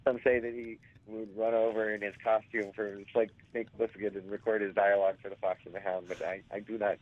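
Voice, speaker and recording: A man in his forties with a raspy, hoarse voice, telling stories as an interview guest and rambling with many fillers and restarts. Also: lowish voice, level tone, brisk, dry recording. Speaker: actor guest. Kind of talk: casual interview storytelling. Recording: tinny phone line.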